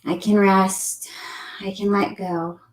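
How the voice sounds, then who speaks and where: Female yoga nidra guide: gentle, leisurely delivery, mid-pitched, roomy amateur recording. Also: little pitch movement. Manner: gentle, unhurried, soothing instruction. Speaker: meditation teacher. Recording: echoey room, amateur sound quality.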